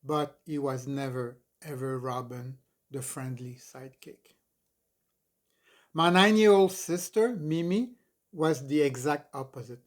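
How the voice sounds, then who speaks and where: A man in his sixties from Montreal reading his memoir aloud in an expressive, deliberate, dramatic narrating style. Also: mid-range voice. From Montreal, Canada. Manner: expressive, deliberate, emphatic storytelling. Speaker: author reading own memoir.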